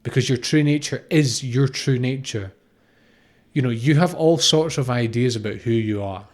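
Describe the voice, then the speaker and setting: A man's voice: mid-range voice, normal speed, darkish tone. A man in his thirties from Scotland gives an unscripted, informal spiritual talk, explaining reflectively and often repeating phrases or posing questions. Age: 30s. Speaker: spiritual teacher, podcast host.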